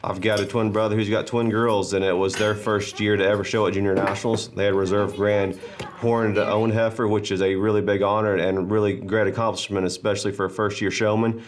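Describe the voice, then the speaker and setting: A rancher in his thirties speaks in a somewhat low voice. He is proud and measured, with small hesitations. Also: flat delivery. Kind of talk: interview.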